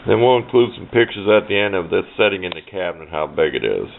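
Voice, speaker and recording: A man's voice: low-pitched, big pitch swings, quick pace. A man giving a casual, hands-on show-and-tell, rambling in a folksy way as he thinks aloud. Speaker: hobbyist presenting a radio project. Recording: muffled phone-like audio with clear background noise.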